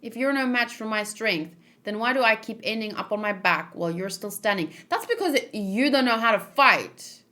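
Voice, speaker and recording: A woman's voice: mid-range voice, expressive, normal speed, few pauses, pushed and bright. A woman reads out young manga fighters trading banter. The delivery is blunt and challenging, combative in the way of sparring partners in a comic. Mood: taunting, sparring. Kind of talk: dramatic read-aloud of manga dialogue.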